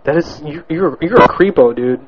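A man's voice: a little high, animated, moderate pace, slight background noise. A man hosting a radio show, making a prank call and grilling the caller with incredulous, mocking questions. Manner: needling, incredulous, repeats accusations. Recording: muffled lo-fi radio audio.

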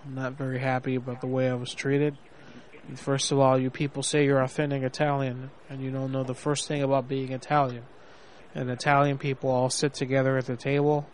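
A man's voice: medium pitch, flat delivery, a little fast, a little hiss or hum, natural voice, rough recording. A man playing a blustering fake war hero. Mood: indignant. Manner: indignant, blustering, self-righteous. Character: angry man posing as a boastful army veteran. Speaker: prank caller. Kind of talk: prank phone call.

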